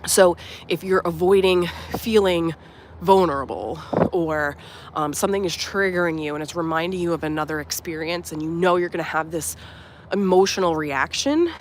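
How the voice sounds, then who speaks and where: A woman's voice: on the low side, normal speed. A young woman talks to her live-stream viewers while walking outside, her voice a touch nasal from a cold. She sounds earnest and reflective and drifts through plenty of "um"s and "like"s. A faint outdoor hum sits behind her. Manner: warm, earnest, reflective, many fillers like "um" and "like". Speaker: life coach and channel host. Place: outdoors at a resort at sunrise.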